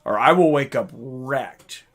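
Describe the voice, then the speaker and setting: A man hosting a casual livestream, reading out viewer comments and reacting in an excitable, informal way with some swearing. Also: medium pitch, slow. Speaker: livestream host.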